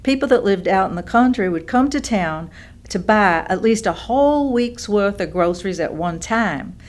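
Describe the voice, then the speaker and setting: A woman's voice: mid-range voice, natural voice. A woman playing a small-town storekeeper fondly recalling old days in a staged reading. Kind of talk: staged monologue of oral history recollections.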